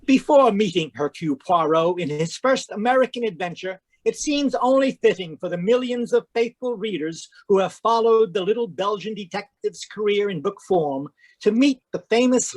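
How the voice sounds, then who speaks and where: A man's voice: high voice, lively intonation, normal speed, few pauses. A man announcing an old-time radio mystery show in a grand, declaiming style over a video call. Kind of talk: 1940s radio acting.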